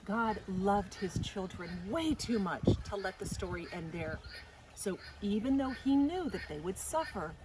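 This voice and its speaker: A woman reading a children's story aloud as a storytime host, with deliberate, dramatic expression. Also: mid-range voice, expressive. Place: outdoors in a garden. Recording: faint outdoor noise, birds or animals. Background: faint outdoor ambience.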